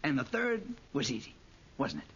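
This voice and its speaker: An actor playing a brash, flirtatious American gambler who teases and probes in a 1940s radio drama. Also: male voice, mid-range voice, huge pitch swings, moderate pace, gravelly, fairly bright tone, heavily acted. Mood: insinuating. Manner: glib, teasing. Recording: muffled old radio recording, faint noise.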